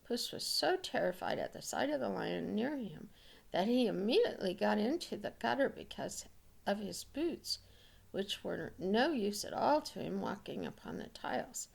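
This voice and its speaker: A woman reading a fairy tale aloud as an expressive storyteller. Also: mid-range voice, moderate pace, creaky, slightly rough.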